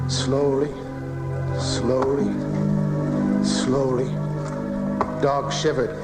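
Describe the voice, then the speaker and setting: A man narrating a 1980s radio horror drama in a deliberate, dramatic way, recorded off the air. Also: mid-range voice. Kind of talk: slow, eerie horror storytelling for 1980s radio.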